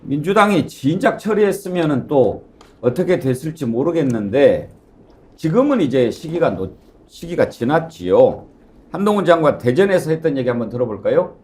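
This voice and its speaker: A man anchoring a political talk show, giving wry, measured commentary with pauses. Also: a little high, sing-song, a little mellow. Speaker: news anchor.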